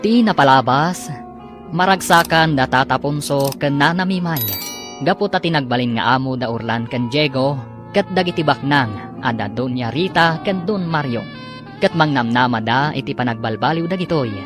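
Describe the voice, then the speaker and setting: A man's voice: medium pitch, moderate pace. A man narrating and announcing an episode of a radio drama. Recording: radio broadcast with music bed.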